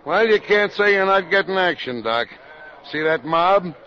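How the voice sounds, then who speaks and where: An actor playing a brusque, sarcastic, hard-bitten police captain in a 1950s radio drama. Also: male voice, high-pitched, lively intonation, normal speed. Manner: brusque, sardonic, streetwise. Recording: dull vintage 1950s radio recording.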